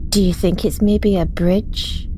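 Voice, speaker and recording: A woman's voice: medium pitch, steady tone, measured pace, mellow, slight background noise, close to an everyday voice. A woman playing a curious, unsure junior starship officer in a tabletop roleplay, talking in a tentative, questioning way.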